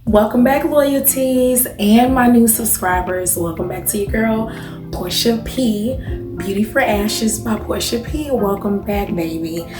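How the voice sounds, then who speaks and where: A woman's voice: mid-range voice, measured pace, reverberant, over music. A woman in her thirties hosting a beauty vlog, chatting casually and familiarly to her viewers with emphatic little check-ins. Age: 30s. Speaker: beauty vlogger and channel host.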